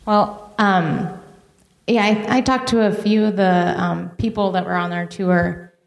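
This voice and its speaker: A woman recounting conversations from a tour in a casual group discussion, measured with hesitant fillers. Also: mid-range voice, steady tone, vocal fry. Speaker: trip panelist. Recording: echoey room.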